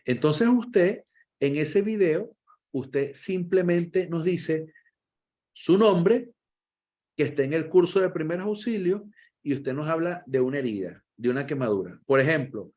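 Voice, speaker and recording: A man, a first aid instructor, closing an online class over a video call in a lively, encouraging way and repeating himself often. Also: a little high, measured pace.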